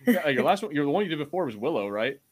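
A man's voice: on the high side, sing-song, racing. A man hosting a podcast in casual, enthusiastic, informal fan chat. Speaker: podcast host.

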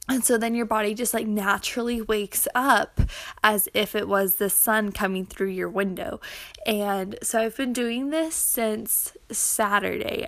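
A young woman records a casual personal audio log. Her voice sits at a medium pitch, and she talks informally in loose, rambling phrases full of filler "likes." The sound is close and dry, with very little room echo. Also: vocal fry, a bit bright. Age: young adult. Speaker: podcaster, schoolteacher.

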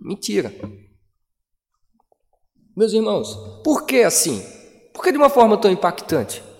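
A man preaches to his congregation in a lofty, high-pitched voice, leaning into dramatic pauses and emphatic phrasing. His words ring out through a church hall, trailing a long echo. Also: wildly swooping pitch. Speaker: pastor. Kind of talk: sermon.

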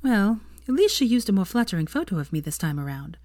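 A woman's voice: mid-range voice, sing-song, fast talker, a little mellow, no room sound, clean studio sound. A woman voicing an indignant, outspoken young witch in a story, emphatic and pointed. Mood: pointed, curious.

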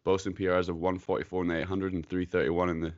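A young Scottish man co-hosting a podcast, easygoing and teasing, with a gravelly voice. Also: low voice, flat delivery, fast talker. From Scotland. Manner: easygoing, teasing, laughs often. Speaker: podcast co-host. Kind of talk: casual podcast chat. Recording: dull lo-fi remote call audio.

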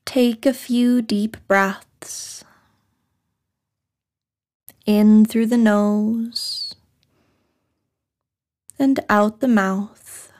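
A woman leading a guided meditation in a soft, breathy, soothing voice, speaking short phrases with long pauses. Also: mid-range voice, steady tone. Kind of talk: guided meditation, scripted narration.

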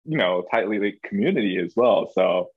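A young man from Hong Kong, a podcast guest, talking in a relaxed, thoughtful way with lots of fillers. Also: fairly low pitch, normal speed, husky, no echo, narrow, tinny audio. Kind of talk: casual interview.

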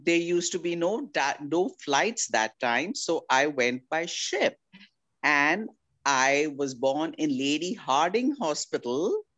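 A woman's voice: low voice, lively intonation, slow, fairly bright tone. A woman in her sixties from India, a guest in a casual family interview, who talks affectionately and calls people darling.

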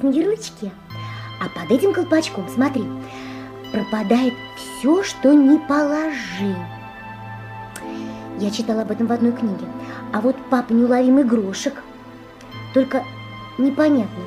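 A woman's voice: high-pitched, sing-song, normal speed, long pauses. An actress playing an eager, curious little boy in a 1970s radio drama. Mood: wistful wonder. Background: music.

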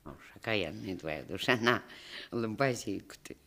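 An old country grandmother in a radio drama, scolding and doting in folksy dialect. The voice is husky and slow, swinging widely in pitch, with music underneath. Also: expressive, fairly bright tone, full character voice. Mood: indignant scolding. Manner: folksy dialect speech with lamenting exclamations. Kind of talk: radio play acting.

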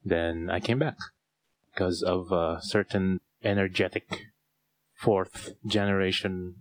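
A Filipino-accented man hosts a relaxed podcast. His voice sits very low, and he talks in a loose, rambling way full of fillers and restarts. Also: slow, dry recording. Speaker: podcast host. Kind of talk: casual chat.

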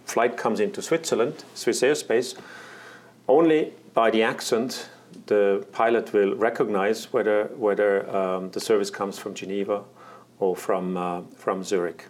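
Executive man being interviewed, lowish voice, hesitant, lots of filler sounds. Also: steady tone. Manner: halting, many fillers and restarts. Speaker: air traffic management executive. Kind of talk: interview answers.

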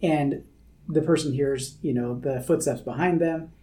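A man co-hosting a podcast as a therapist, explaining ideas in a measured way through a worked example. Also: fairly high pitch, warm tone. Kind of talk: explanatory conversational chat.

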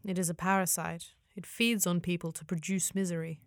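A woman playing a calm, knowledgeable fantasy companion in an audio drama, with a formal, measured and gentle manner. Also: lowish voice, no room sound, lightly acted. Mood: grave explaining. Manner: formal, measured, avoids contractions.

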